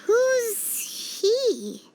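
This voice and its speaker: Very squeaky little fairy girl. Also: sing-song, very slow, darkish tone, slight background noise, full character voice. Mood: dismayed, pleading. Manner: squeaky, refers to self in third person. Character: small childlike floating fairy companion, female, excitable and fretful. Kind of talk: video game voice acting.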